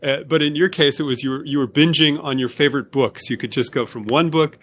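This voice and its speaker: A man's voice: on the high side, brisk, a bit bright, slight background noise. A man hosting an online interview, enthusiastic and jokey, often repeating words as he talks. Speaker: interview host.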